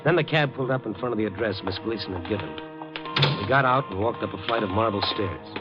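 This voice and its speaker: An actor playing a wary, world-weary newspaperman in a 1950 radio drama, with a dry, deliberate, hard-boiled delivery. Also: on the low side, lightly acted. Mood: brooding. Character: probing, wary, world-weary male night-beat newspaperman narrating his case. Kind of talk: 1950 radio drama acting and first-person narration. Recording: dull old radio recording with music.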